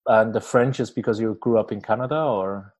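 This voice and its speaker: A man's voice: lowish voice, fairly quick, a little mellow. Man hosting, laughs a lot. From Switzerland. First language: not English. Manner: laughs often, many fillers and restarts. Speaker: podcast host. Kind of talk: casual interview chat.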